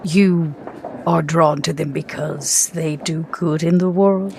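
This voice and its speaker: A woman voicing a genteel, reserved female vampire in a tabletop roleplay podcast, with dry, measured questioning. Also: low voice, steady tone, a little mellow, slight background noise. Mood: probing, curious.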